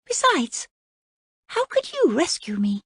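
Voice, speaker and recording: A woman voicing an earnest young princess in a high, plaintive girlish voice. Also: wildly swooping pitch, takes it slowly, no echo, studio quality, heavily acted.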